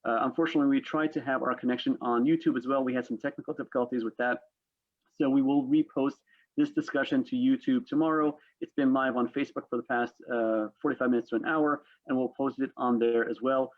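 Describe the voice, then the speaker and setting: A man hosts an online discussion in a semi-formal, conversational way. His voice sits at a middle pitch and comes through a thin, phone-like video call connection. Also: steady tone, fast. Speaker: host of a panel discussion. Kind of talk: hosting an online panel, semi-formal talk.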